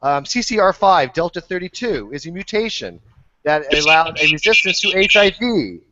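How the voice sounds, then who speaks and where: High-voiced man hosting a debate, dull audio. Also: very animated, moderate pace, bright, forceful tone. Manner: insistent, presses for yes or no answers. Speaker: host moderating a debate panel. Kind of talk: heated live debate. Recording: dull, lo-fi online call audio.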